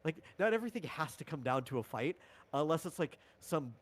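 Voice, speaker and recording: A man's voice: on the high side, expressive, a little fast. A man talking casually on a livestream as a tabletop game designer, explaining rules in a rambling, informal way.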